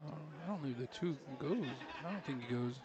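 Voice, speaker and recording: A man from France with a French accent, casually commentating a pool match and joking with his co-host, often pausing and restarting his sentences. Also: a little high, dull audio, a crowd in the background. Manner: relaxed, joking, halting with restarts.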